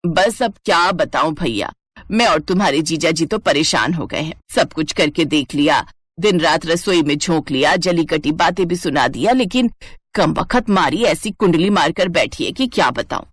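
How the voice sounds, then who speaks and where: A woman voicing a sharp-tongued middle-aged Indian mother-in-law in a cartoon family drama, taunting and dramatic. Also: deep voice, expressive, moderate pace, husky, no room sound, studio quality, voice pushed down. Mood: exasperated.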